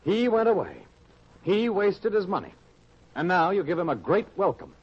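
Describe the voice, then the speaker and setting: Indignant dutiful son, old-time radio melodrama, fairly high rough voice, muffled vintage sound. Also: male voice, sing-song, normal speed, heavily acted. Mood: indignant, hurt. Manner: indignant, accusing. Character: resentful, dutiful grown son, wounded and indignant.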